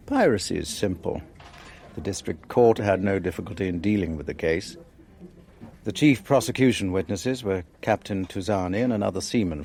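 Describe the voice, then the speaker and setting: An actor in a radio drama playing a composed, skeptical interrogator, speaking in a deliberate, dry and pointed way. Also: male voice, low-pitched, vocal fry, a little mellow. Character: probing, skeptical, composed male interrogator and narrator.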